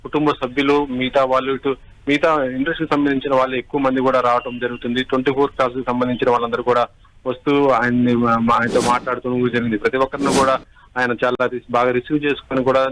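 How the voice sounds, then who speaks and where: A man giving a live unscripted news report, halting and repeating himself as he goes. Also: mid-range voice, level tone, a bit bright, low-quality recording. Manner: halting, repetitive, restarts words.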